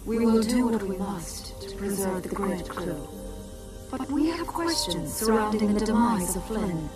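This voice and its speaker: An actress playing a dignified, serene leader in a video game, speaking in a formal, composed way. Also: female voice, mid-range voice, expressive, a little slow, over loud music, lightly acted. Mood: grave, gracious. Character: dignified, serene female leader of a digital people. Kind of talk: video game cutscene acting.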